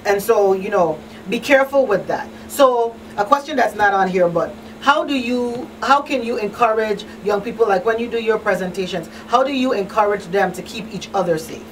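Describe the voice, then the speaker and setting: A woman's voice: mid-range voice, expressive, normal speed, few pauses. A woman hosting a conversational talk show in an emphatic, plain-spoken way. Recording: distant mic, faint background noise.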